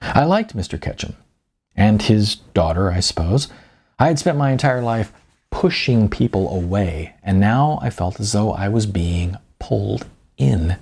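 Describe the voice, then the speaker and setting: A man with a gravelly voice, an author narrating his own audiobook in an informal way. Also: on the low side, lively intonation, measured pace, darkish tone.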